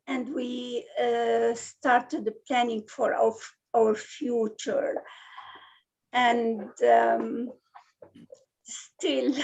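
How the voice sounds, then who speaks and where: A woman's voice: fairly high pitch, vocal fry, darkish tone, poor audio. A middle-aged woman from Syria, introducing herself hesitantly on a panel. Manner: hesitant, halting with fillers.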